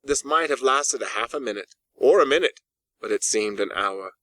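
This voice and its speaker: A man reading a classic ghost story as an audiobook narrator, with deliberate, dramatic phrasing and emphatic pauses. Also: mid-range voice, expressive, a bit bright, dry recording.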